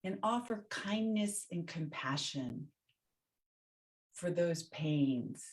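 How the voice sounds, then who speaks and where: Female meditation teacher, low register, very unhurried. Gentle, soothing guidance in short, spaced phrases. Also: expressive. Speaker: meditation teacher leading a guided session. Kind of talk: guided meditation.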